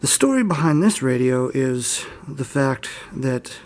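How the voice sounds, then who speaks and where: A man's voice: medium pitch, darkish tone. Casual young man showing off gear. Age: early 20s. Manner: unscripted, unsure, self-deprecating. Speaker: hobbyist video host. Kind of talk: casual informal show-and-tell.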